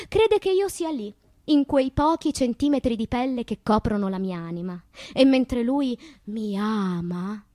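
A woman's voice: on the high side, dry recording. Passionate woman poet, close and dry. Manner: theatrical, poetic, swinging from hushed to impassioned. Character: passionate, wounded woman poet confessing her loves. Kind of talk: dramatic literary monologue, radio theatre.

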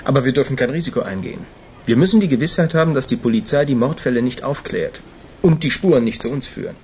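Actor as a deferential henchman, mid-pitched. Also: lively intonation, fast, darkish tone, slight background noise, lightly acted. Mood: businesslike, cautious. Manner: businesslike, deferential. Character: deferential, cautious henchman of a crime boss. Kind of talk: radio drama dialogue.

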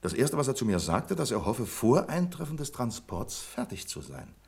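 An actor playing a suspicious, commanding bank boss in a 1970s radio play, speaking with emphatic authority and a rough voice. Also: male voice, medium pitch, very animated, normal speed, heavily acted. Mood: wary, commanding.

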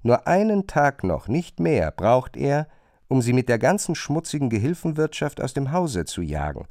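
A man's voice: medium pitch, big pitch swings, normal speed, darkish tone, dry recording, clean studio sound. A man narrating a literary radio play.

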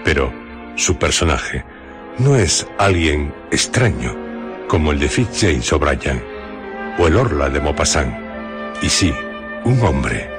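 A man narrating a radio mystery programme in a solemn, theatrical, suspenseful manner with dramatic pauses. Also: rumbling low, big pitch swings. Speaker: radio show host and narrator. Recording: music behind the voice. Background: background music.

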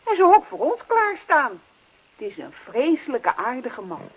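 An actress playing a brisk, exasperated wife who scolds and dismisses her husband in a radio comedy play. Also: sits high, sing-song, husky, full character voice. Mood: reproachful. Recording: muffled old radio play recording.

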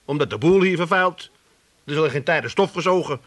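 A man's voice: fairly high pitch, expressive, fairly quick, a bit bright. A man acting a lazy, self-pitying grown son from a family in a comedy radio play, whining and dryly mocking. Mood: complaining.

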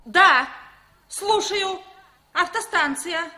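A high, bright-voiced woman cashier in an old Soviet radio play. She speaks in an official, matter-of-fact way, like someone on duty. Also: very slow, full character voice. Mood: businesslike. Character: businesslike woman cashier on night duty at bus station. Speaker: bus station cashier.

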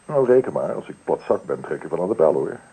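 A gravelly, bashful lodger. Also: male voice, very deep voice, big pitch swings, fast, mellow, exaggerated voice, poor audio. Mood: modest, reassuring. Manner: hesitant, self-effacing. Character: modest, bashful male therapist seeking lodgings. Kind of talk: Dutch radio drama acting. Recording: radio drama recording.

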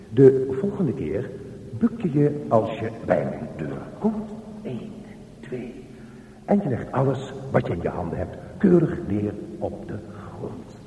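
A haughty company director, rambles theatrically to himself and talks down to his underlings. His delivery swoops widely in pitch. The voice sits away from the microphone in a reverberant, echoey space. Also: a little mellow. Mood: condescending. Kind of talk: radio drama monologue. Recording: echoey reverberant hall, distant from mic.

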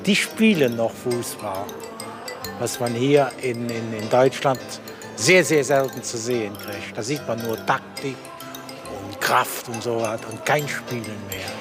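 A man's voice: medium pitch, a little slow, over music. A man giving a spontaneous opinion as a football fan in a street interview.

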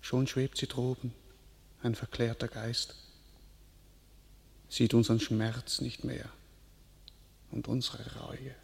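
An actor playing a grieving king who speaks in grave, measured verse in a classic radio play. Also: mid-range voice, level tone, gravelly. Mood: mournful. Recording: reverberant studio.

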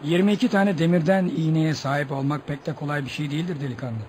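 Man acting a pompous, high-pitched boastful father. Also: fast, a little mellow, lightly acted. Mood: smug, boastful. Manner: pompous, theatrical. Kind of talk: Turkish radio theatre acting. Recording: noisy old radio drama recording.

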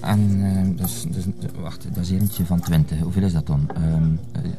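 Fumbling, lowish-voiced Flemish waiter. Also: male voice, fairly even intonation, normal speed, dark tone, slight background noise. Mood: flustered. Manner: hesitant, fumbling, chatty. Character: flustered, obliging male café waiter. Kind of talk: naturalistic TV comedy-drama acting.

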